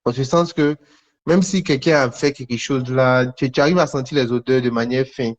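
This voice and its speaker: A man preaching in a conversational, charismatic style to online viewers, repeating short phrases for emphasis with pauses between them. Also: medium pitch, steady tone. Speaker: preacher hosting a live online prophetic session. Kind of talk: charismatic sermon and prophetic teaching, conversational, addressing viewers. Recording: dull, lo-fi livestream audio.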